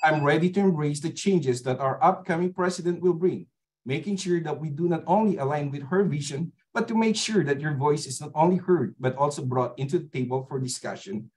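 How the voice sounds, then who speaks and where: A man's voice: on the high side, brisk, a little mellow, poor audio. A man finishing a prepared campaign speech as a board candidate, earnest and formal.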